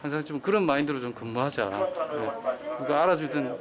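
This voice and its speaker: A man's voice: a little high, brisk, a loud crowd. A man speaking informally as a senior air traffic controller explaining the job.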